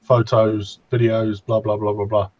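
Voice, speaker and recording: A man with a husky voice, chatting casually as a panellist on a talk show. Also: lowish voice, little pitch movement, a little slow, a little mellow, muffled recording. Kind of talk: casual chat.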